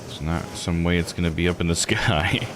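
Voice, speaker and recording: A man's voice: deep voice, a little fast, background noise. A man giving casual, laid-back game commentary as a streamer, musing aloud as he plays. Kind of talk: casual let's-play commentary.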